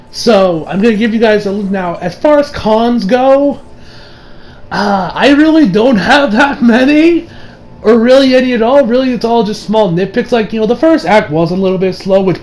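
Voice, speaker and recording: A man giving a casual, rambling review in an informal, excitable, sweary way. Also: high voice. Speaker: YouTube movie reviewer.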